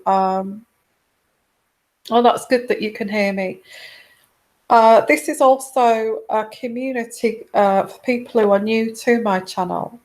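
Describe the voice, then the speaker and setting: A woman hosts a live intuitive reading stream, her voice quiet and strained by a sore throat. She talks slowly and unhurriedly, stumbling through fillers and restarts as she greets viewers. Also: a little high. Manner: hesitant, many ums and restarts. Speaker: host of a live tarot reading show. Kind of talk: casual live-stream chat.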